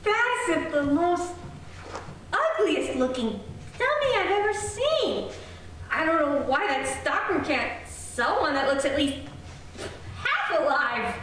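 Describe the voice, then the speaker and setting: Woman acting a high-pitched, exasperated shop floor walker. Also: very animated, takes it slowly, a bit bright, heavily acted. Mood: flustered, appalled. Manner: theatrical, scolding exclamations. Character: fussy, exasperated female department store floor walker. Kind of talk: old-time radio comedy acting. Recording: distant, very echoey room with hiss.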